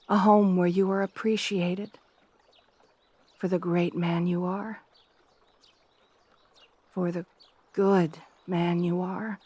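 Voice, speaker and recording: Woman acting a shy, slow-spoken gentle princess. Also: mid-range voice, dry recording. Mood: tender, earnest. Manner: hesitant, stammering, deferential. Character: shy, gentle, devoted young princess bride. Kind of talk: wholesome romantic ASMR audio roleplay.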